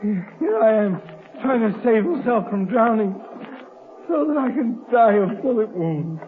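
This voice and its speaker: Wounded gangster, very high strained pleading, slow halting delivery, vintage radio melodrama. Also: male voice, big pitch swings. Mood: dazed. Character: wounded, desperate male crook, pleading and fearful. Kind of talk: 1930s radio drama acting. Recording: muffled, crackly 1930s radio recording.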